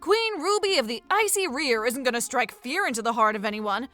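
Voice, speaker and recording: Brash young fantasy heroine, woman acting, bright and forceful, animated, playful cartoon delivery. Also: sits high, a little fast, soft background music. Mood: cheeky, excited. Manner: cheeky, rambling, expressive. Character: brash, playful young dragon-tending big sister in fantasy.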